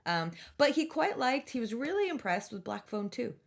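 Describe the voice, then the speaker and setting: A woman hosting a casual podcast, talking in an enthusiastic, animated, slangy way with easy giggles and swearing. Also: fairly high pitch, normal speed, vocal fry. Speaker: podcast host.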